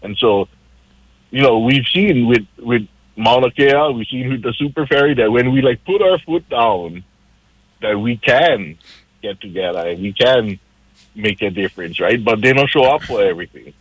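A man's voice: medium pitch, animated, normal speed, husky, no room sound. A man from Hawaii running for council, speaking as a radio guest in an earnest, persuasive way. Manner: earnest, persuasive, civic-minded. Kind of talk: casual radio conversation. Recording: slightly dull lo-fi sound.

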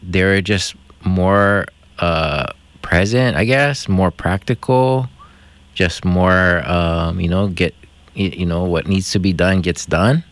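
A man from Hawaii hosting a community radio talk show, speaking in a reflective, halting way with many fillers. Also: low-pitched, no room sound. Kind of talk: casual radio conversation.

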